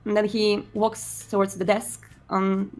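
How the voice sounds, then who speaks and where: A woman improvising narration for a roleplaying game, halting and pausing mid-sentence as she describes her character's actions. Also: mid-range voice, little pitch movement, darkish tone, faint music behind.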